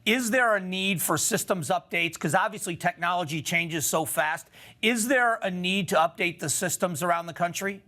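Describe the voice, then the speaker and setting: A man's voice: high-pitched, moderate pace, sharp tone. An American man hosting a television news interview, putting questions to a guest in broadcast style.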